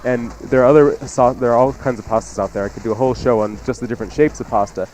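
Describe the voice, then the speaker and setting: A man's voice: mid-range voice, fairly even intonation, quick pace, darkish tone, faint music behind, poor audio. A man who is a chef and radio co-host, giving casual cooking advice.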